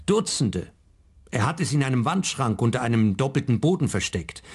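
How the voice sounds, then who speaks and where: An actor playing an embarrassed grown son in a radio play, with a husky voice. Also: male voice, medium pitch, big pitch swings, normal speed, darkish tone, full character voice. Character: embarrassed adult son of a dead old man.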